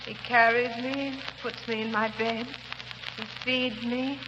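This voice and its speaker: An actress playing a frail, devoted wife in a wheelchair who speaks hesitantly, in 1930s radio drama style. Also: female voice, on the high side, fairly even intonation, slow. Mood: fragile. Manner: hesitant, faltering. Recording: muffled old radio broadcast, reverberant, hiss.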